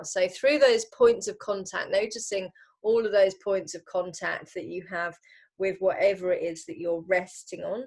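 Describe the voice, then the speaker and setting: A woman leading a guided relaxation as a yoga teacher, unhurried and gently instructing, leaving pauses between phrases. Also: mid-range voice.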